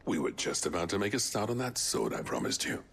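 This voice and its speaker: A man's voice: on the low side, expressive, fairly quick, a bit bright, breathy edge. An actor playing a proud, cheerful craftsman with a taste for grand words. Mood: jovial. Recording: music behind the voice.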